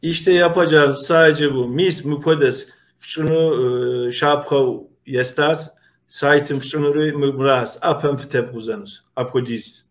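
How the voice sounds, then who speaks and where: A man hosting a casual video interview, speaking in a halting, hesitant way on an online call. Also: a little high, fairly even intonation. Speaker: host.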